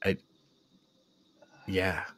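A man's voice: very slow, sharp tone, no room sound. A man co-hosting a podcast, chatting casually about a film in an exasperated, incredulous, informal way. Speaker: podcast co-host. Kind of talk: casual film review chat.

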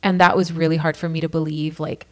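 A woman's voice: low voice, level tone, fast talker. A woman from California, a podcast guest telling her personal story in an earnest, casual way, full of "like" and "you know.".

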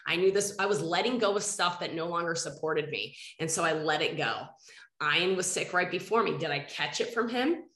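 A woman's voice: on the low side, moderate pace, a bit bright, reverberant. A woman hosting a podcast interview, a little nasal and congested from a head cold, talking earnestly and rambling with restarts. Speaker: podcast host. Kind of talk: casual interview chat.

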